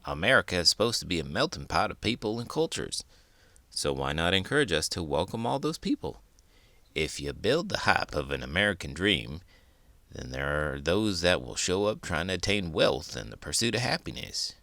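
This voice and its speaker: A man's voice: deep voice, sing-song, normal speed, vocal fry, bright, forceful tone, no room sound. A man reading a children's book aloud as a host, with dramatic, emphatic delivery. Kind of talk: expressive storybook read-aloud.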